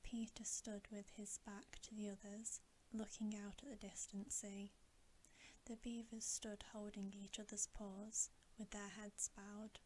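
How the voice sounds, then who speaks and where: A woman reading a bedtime story as ASMR in a breathy whisper. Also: mid-range voice, level tone, unhurried, a little mellow, slight background noise, poor audio.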